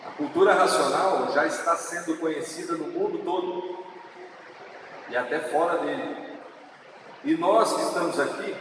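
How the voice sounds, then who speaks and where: A man with a São Paulo countryside accent gives a slow, earnest public speech, stretching out the words he wants to stress. His voice sits a bit high for a man. He is picked up from a distance in a big, reverberant assembly hall with clear noise behind him. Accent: São Paulo countryside Brazilian Portuguese. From interior of São Paulo state, Brazil. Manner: earnest, preaching, slow with drawn-out emphatic words. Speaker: speaker at a legislative assembly event. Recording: echoey hall, distant mic, noisy.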